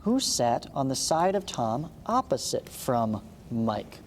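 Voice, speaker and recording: A man's voice: mid-range voice, big pitch swings, slow pace. A man teaching math, reading a textbook aloud and breaking off for informal explanatory asides. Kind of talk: read-along textbook lesson with casual asides. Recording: reverberant room with background hiss.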